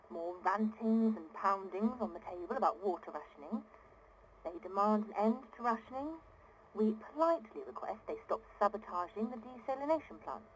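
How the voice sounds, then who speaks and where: An actress playing an exasperated, earnest and privileged founder of an ocean city in a video game audio diary, speaking articulately and emphatically. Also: female voice, mid-range voice, a little hiss or hum, natural voice, rough recording. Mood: weary, disillusioned. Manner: indignant, articulate, emphatic, with weary sighs.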